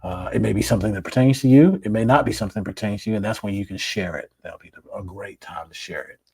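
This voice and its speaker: Raspy-voiced man hosting a podcast. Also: lowish voice, fast, dry recording. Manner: relaxed, conversational, repeats words. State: hoarse after illness. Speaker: plastic surgeon podcast host. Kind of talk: solo podcast monologue.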